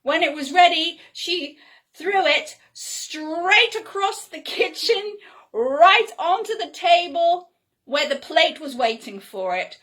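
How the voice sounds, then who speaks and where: Unhurried, animated woman storyteller. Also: very high-pitched. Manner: whimsical, playful, unhurried. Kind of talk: expressive children's story read-aloud with chatty asides. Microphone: phone.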